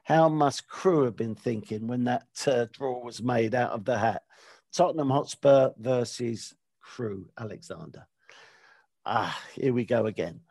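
Gravelly guy hosting a podcast, rambly and hesitant, dry sound. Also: mid-range voice, unhurried, darkish tone, no room sound. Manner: rambling, hesitant, full of restarts. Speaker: podcast host. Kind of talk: casual chat.

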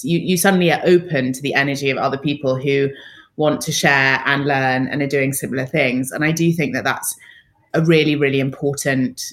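A woman hosting a business podcast, asking questions and affirming her guest's answers. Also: rumbling low. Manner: engaged, affirming interviewer. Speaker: podcast host. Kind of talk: interview questions.

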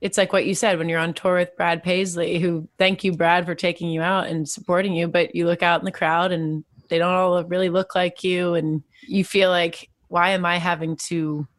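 A woman's voice: on the low side, level tone, normal speed, few pauses, no room sound. A young woman from Texas interviewing a fellow musician, asking thoughtful, probing questions. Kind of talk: conversational interview.

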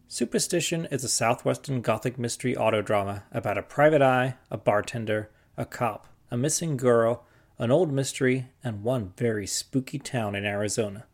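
A man hosting a podcast, reading a scripted introduction in a clear, measured way. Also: mid-range voice. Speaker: podcast host.

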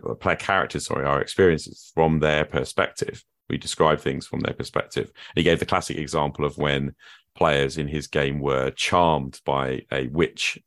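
Very deep-voiced man hosting a chat. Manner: reflective, thinks aloud at length. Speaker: podcast host. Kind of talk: conversational interview.

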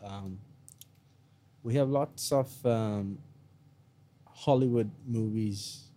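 A man's voice: fairly low pitch, extremely slow, warm tone, slight background noise. Swearing Indian-born podcast man, American-sounding. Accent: American-sounding, picked up in India. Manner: swears freely, laughs often. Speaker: podcast co-host. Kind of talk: casual chat.

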